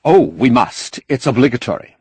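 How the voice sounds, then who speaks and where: A man's voice: medium pitch, wildly swooping pitch, normal speed, gravelly, darkish tone, breathy edge, no room sound, voice pushed up, heavily acted. A man voicing a younger, officious protocol official, polite and hesitant, in an audiobook novel. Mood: baffled, pleading. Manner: polite, apologetic, hesitant, fussy.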